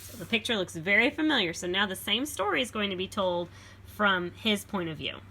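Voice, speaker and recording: Animated, bright-voiced woman reading a picture book. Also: medium pitch, brisk. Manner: explains simply to young listeners. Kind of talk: expressive children's read-aloud with explanatory asides.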